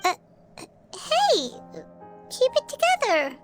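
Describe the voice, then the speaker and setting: A woman voicing a small, cheeky, childlike fairy companion in a video game, with a squeaky voice and brash, chirpy delivery. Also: wildly swooping pitch, slow pace, music in the background, full character voice. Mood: urgent.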